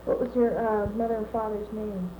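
A woman's voice: mid-range voice, fairly even intonation, normal speed, mellow, slight background noise, poor audio. A woman conducting an oral history interview, asking hesitant questions with fillers. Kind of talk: reading intro, asking questions.

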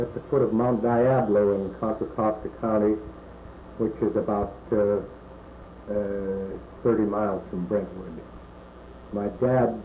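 A man in his sixties from California, recalling his early life in an oral history interview and pausing often with "uh". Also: lowish voice, warm tone. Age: 60s. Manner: halting, many "uh" pauses. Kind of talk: slow reminiscing answers. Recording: echoey room, muffled phone-like tape with faint hiss.

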